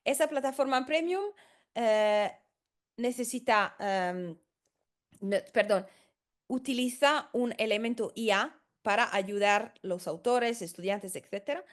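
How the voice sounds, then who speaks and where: A woman's voice: a little high, slow pace. A woman who is not a native Spanish speaker, a consultant explaining in a tutorial, hesitant with many fillers and restarts. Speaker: senior professional services consultant.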